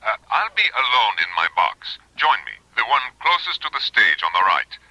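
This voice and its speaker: A man's voice: medium pitch, sing-song, normal speed, pushed and bright, no room sound, lo-fi sound, exaggerated voice. An actor playing an anxious male impresario through a telephone filter in an old-time radio drama.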